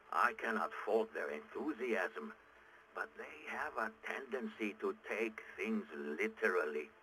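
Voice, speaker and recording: Casting: weary, driven rebel leader for game audio logs; low, slow, gritty half-whisper, brooding confessional delivery. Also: male voice, bright, forceful tone, a little hiss or hum, full character voice, rough recording. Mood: doubtful, brooding. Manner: brooding, deliberate, halting monologue. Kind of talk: video game audio log acting.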